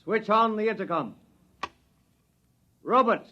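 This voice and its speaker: A man playing a cold, commanding military colonel in a 1950s radio drama, measured and precise, on a dull old recording. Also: high voice.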